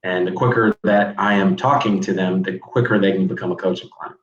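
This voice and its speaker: A man's voice: sits low, fairly even intonation, fairly quick. A man, a coach as guest, talking frankly and casually over a video call. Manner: frank, conversational, repeats words as he talks. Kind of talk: casual interview chat. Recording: echoey, dull video call audio.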